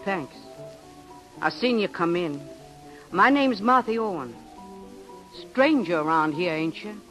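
An actress playing a rough but good-hearted older woman, slangy and brash, in a 1930s radio drama. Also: lowish voice, lively intonation, slow pace, fairly bright tone, faint music behind, rough recording. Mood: curious, friendly. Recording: vintage 1930s radio broadcast.

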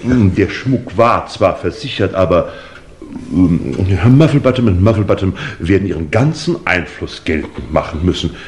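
Low voiced slimy formal lawyer, old radio play, super animated, bit of hiss. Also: male voice, normal speed. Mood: soothing, fawning. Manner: obsequious, fussy, formal. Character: officious, fawning family lawyer to a lord. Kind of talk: 1950s German radio play acting.

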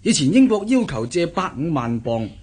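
An actor playing a self-assured, chatty government interpreter in a radio drama, explaining things in a confiding manner. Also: male voice, fairly high pitch, sing-song, moderate pace, darkish tone, slightly rough. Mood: amused, explanatory. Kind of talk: Cantonese radio drama acting.

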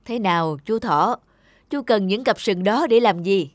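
A woman's voice: medium pitch, unhurried, no room sound, clean studio sound, lightly acted. A woman voicing a big, stern, thoughtful male antelope leader in a children's cartoon. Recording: faint music behind the voice.

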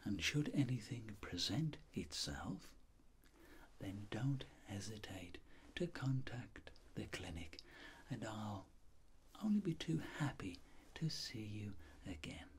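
A British man in middle age whispers slowly, as a doctor in an ASMR roleplay. His delivery is soft and breathy, with gentle, lilting movement in pitch. There is faint background noise. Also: very animated. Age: middle-aged. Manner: gentle, polite, measured instructions. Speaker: ASMR creator role-playing a clinic doctor.

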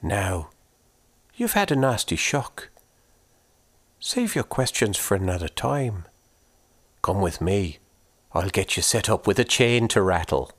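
A man voicing a kindly old-fashioned ghost woman who has lived in her farmhouse for centuries, with a motherly, gently teasing manner. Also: lowish voice, big pitch swings, slow pace, pauses often, full character voice.

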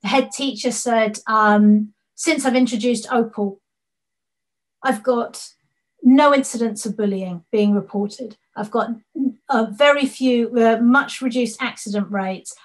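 A woman presents on a video call, her voice sitting a little high. She talks with eager energy and tells vivid stories, often letting her voice fall quiet at the end of a point. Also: a little slow, low-quality recording. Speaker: panel speaker. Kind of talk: presentation over slides.

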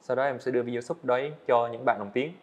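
A man's voice: mid-range voice, steady tone, fast. A young man, a guest animator, earnestly explaining his work step by step in a casual interview on a studio set.

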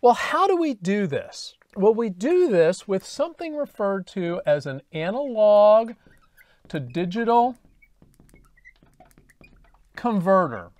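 A man in his fifties explains things like a teacher at the board. His pitch moves around a lot as he goes step by step and poses questions. The lapel mic gives a dry studio sound with very little room in it. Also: big pitch swings, slow pace, long pauses, a little mellow, dry recording. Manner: conversational, step by step, with rhetorical questions. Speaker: lecturer. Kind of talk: explanatory lecture to camera. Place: indoor studio with dark backdrop.

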